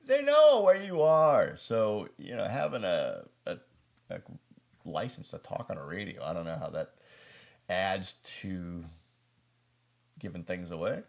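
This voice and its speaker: An American man with a deep voice and plenty of gravelly fry. He talks to his viewers as a casual prepper host reviewing gear. His delivery rambles, with folksy asides and a self-deprecating streak. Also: big pitch swings, unhurried, pauses often, darkish tone. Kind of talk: casual product review talk to camera.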